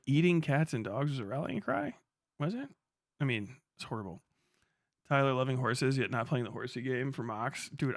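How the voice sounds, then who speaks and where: A man's voice: medium pitch, no echo, clean studio sound. A man streaming live, chatting casually, swearing constantly and breaking into laughter. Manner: profane, excitable, laughs a lot. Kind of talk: casual livestream chat.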